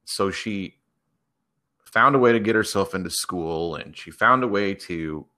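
A man with a low voice and a bright, forceful edge makes up a story as a podcast guest. He lays it out in measured phrases, pausing as he builds it piece by piece. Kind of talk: improvised storytelling.

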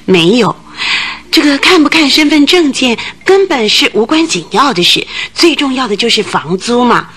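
A woman's voice: sits high, sing-song, normal speed, a bit bright, breathy edge, heavily acted. An actress in a Chinese radio drama playing a thin, fidgety, gossipy landlady of about forty, agitated and full of exclamations. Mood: explaining, chatty.

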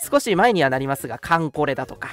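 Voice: medium pitch, sing-song, quick pace. A polite, conversational radio host chatting casually and announcing segments, of unclear sex. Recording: music playing behind the voice. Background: background music.

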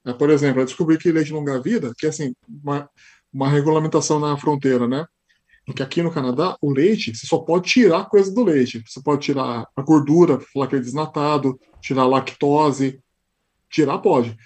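Fast-talking, husky Brazilian man. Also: on the high side. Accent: São Paulo Portuguese. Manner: animated storyteller, acts out quoted dialogue. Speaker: podcast guest. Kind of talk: casual interview chat.

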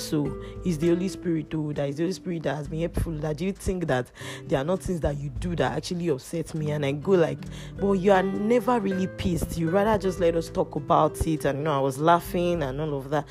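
A woman hosting a podcast, telling a personal story in a casual, loud, animated way with many restarts. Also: low voice. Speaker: podcast host. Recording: music playing underneath. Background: guitar music.

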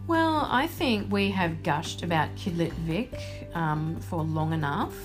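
A woman with an Australian accent and a fairly low voice co-hosts a podcast. She talks casually but with enthusiasm and stresses her points. Music plays clearly behind her. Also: animated, takes it slowly. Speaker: podcast co-host. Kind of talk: casual chat.

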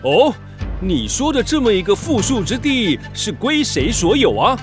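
A man's voice: high voice, big pitch swings, normal speed, soft background music. A man in a period radio drama playing an imperious, ruthless warlord, commanding and impatient, in a reverberant hall. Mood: impatient, curious. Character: imperious, ruthless male warlord of old China.

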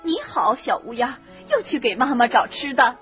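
A woman's voice: fairly high pitch, lively intonation, normal speed, over music, close to an everyday voice. A woman playing a kindly, motherly neighbour bird in a children's radio drama. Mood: friendly, approving. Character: kindly neighbour bird auntie, warm and praising.

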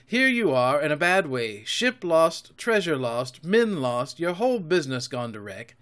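Man playing a defiant, high-spirited boy adventurer. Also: lively intonation, moderate pace, fairly bright tone. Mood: excited defiance. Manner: impassioned, emphatic declaiming. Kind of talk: audiobook character voice.

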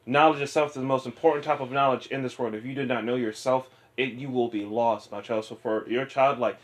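A man's voice: medium pitch, fairly even intonation, fairly quick. A young man from upstate New York co-hosting a podcast, talking casually and loosely, swearing and often saying "know what I'm saying". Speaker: podcast co-host. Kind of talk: casual chat.

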